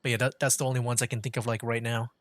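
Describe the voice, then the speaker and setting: A man's voice: medium pitch, level tone, rapid-fire, slight background noise. A man giving casual live gameplay commentary as a streamer, rambling and feeling for his words. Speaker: video game streamer.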